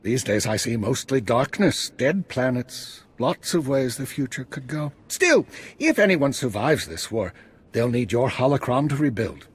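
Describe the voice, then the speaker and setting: A man playing an eccentric, visionary Jedi master in a video game, guiding a student with expressive, theatrical delivery in a husky, rough-edged voice. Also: mid-range voice, a little slow, exaggerated voice. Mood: somber, resolute. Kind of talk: scripted video game dialogue.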